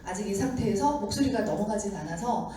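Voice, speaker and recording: A woman giving a seminar talk to an audience, slightly hoarse from a sore throat, chatty and acting out the doubts of skeptics. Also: mid-range voice, lively intonation, fast, mellow. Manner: chatty, acts out doubters' lines. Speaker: seminar speaker. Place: lecture room with audience. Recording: echoey hall mic from far off with noise.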